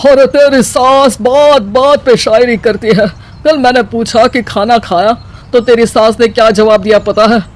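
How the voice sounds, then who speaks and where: A man as an exasperated old father-in-law. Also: very high voice. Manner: grumbling, helpless. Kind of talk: cartoon comedy story acting.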